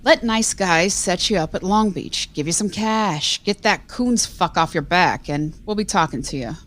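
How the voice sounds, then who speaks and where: A woman playing a commanding, unhurried crime boss and exasperated parent in an amateur audio drama. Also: lowish voice, fairly bright tone, natural voice. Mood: reassuring, businesslike.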